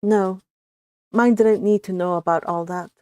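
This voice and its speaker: A woman's voice: mid-range voice, fairly even intonation, measured pace, darkish tone, no echo, studio quality. Giggly woman reading her fanfic. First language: not English. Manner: laughs often, restarts sentences. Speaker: fanfic author reading her own story. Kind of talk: story reading and casual chat.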